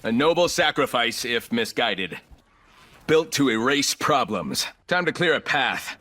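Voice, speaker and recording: An actor playing a ruthless, arrogant corporate executive turned combat operator in a video game, speaking in clipped, commanding, coolly condescending lines. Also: male voice, medium pitch, animated, unhurried, sharp tone. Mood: cold, commanding. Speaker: playable military shooter operator.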